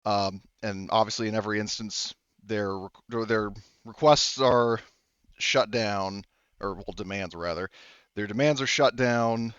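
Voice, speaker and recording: A man narrating casually as a tabletop game master, rambling and halting with frequent self-corrections. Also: fairly low pitch, a bit bright, clear, resonant tone, no echo, dull audio.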